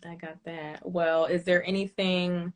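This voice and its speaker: A woman's voice: on the low side, level tone, a little slow. A woman in her late twenties hosting a podcast, chatting casually and asking long, winding questions. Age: 20s. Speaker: podcast host.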